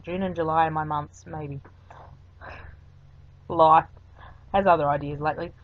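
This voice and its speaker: Chatty woman, low-set voice. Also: fairly even intonation, unhurried, a little hiss or hum. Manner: chatty and rambling, with frequent ums. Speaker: craft video host. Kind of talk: voice-over craft tutorial narration. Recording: dull lo-fi recording.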